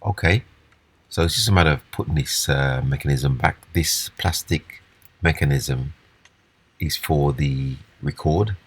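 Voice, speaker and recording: A man with a husky voice giving a casual, unscripted, step-by-step walkthrough of hands-on repair work. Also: a bass voice, unhurried. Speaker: repair demonstrator.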